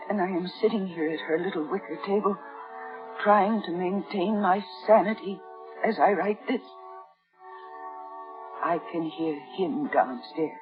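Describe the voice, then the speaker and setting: A woman's voice: fairly low pitch, lightly acted. A woman acting a terrified young bride trapped by a mad husband in a 1950s radio thriller, breathless and dramatic with dread. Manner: breathless, dramatic diary narration with trembling dread. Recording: dull vintage radio recording, loud music behind.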